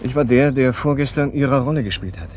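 An actor playing a guarded, shady man in a naturalistic radio drama, colloquial, matter-of-fact and quietly insinuating. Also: medium pitch, fast talker, a little hiss or hum, natural voice.

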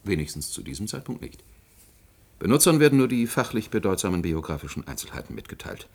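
Radio drama: male expert giving testimony, deep voice, very varied intonation, hesitant formality. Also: big pitch swings, fast talker, creaky, a little mellow, exaggerated voice. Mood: uneasy, explanatory. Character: careful male expert witness explaining an incident. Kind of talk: German radio play acting.

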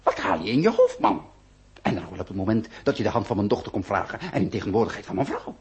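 A man's voice: on the low side, big pitch swings, fast talker, darkish tone. A man acting an exasperated, well-bred middle-aged nobleman in a radio play, remonstrating in formal language. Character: exasperated well-bred nobleman, husband and father, pleading for decorum.

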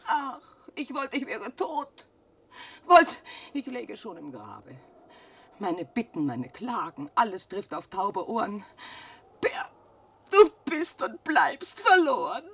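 A woman's voice: huge pitch swings, slow, husky, full character voice. An actress playing a poor old widowed mother in a classic radio play, scolding and lamenting her lazy son. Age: older. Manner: scolding and lamenting, swings from shrill cries to hushed sighs.

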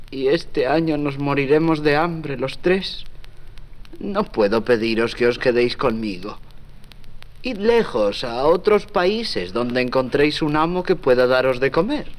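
An actor playing a kindly, weary old shepherd in a children's audio story, with a hesitant manner. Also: male voice, on the high side, expressive. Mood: weary, tender. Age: older. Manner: slow, hesitant, interjecting.

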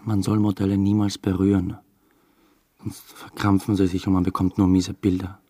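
An actor playing a young theatre assistant under suspicion of murder in a German radio drama, dry, laconic and evasive. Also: male voice, deep voice, level tone, moderate pace, warm tone, no room sound. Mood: guarded. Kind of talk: German radio drama acting and first-person narration.

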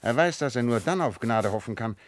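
A man's voice: medium pitch, animated, brisk, natural voice. A man playing a shrewd, self-assured detective with playful irony in a German radio play. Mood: confiding, amused. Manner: theatrical, teasing, confident.

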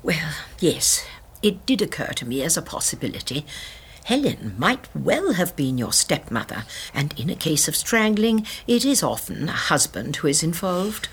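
Radio drama: elderly English spinster sleuth, very husky and breathy, lilting, gentle but shrewd. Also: female voice, low-pitched, sing-song, moderate pace, full character voice. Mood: gentle musing. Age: older. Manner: hesitant, genteel, musing.

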